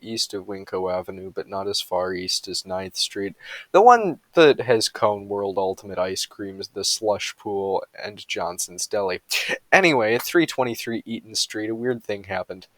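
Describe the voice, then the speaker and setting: A man's voice: lowish voice, normal speed, fairly bright tone, dry recording. A man reading humorous bedtime stories aloud with a mock-serious storytelling delivery.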